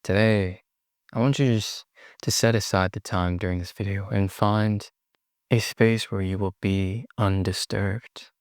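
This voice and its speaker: A man leading a guided meditation in a soothing manner, pausing often between short phrases. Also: fairly low pitch, steady tone, smooth, dry recording, clean studio sound. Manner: slow, pausing, soothing delivery.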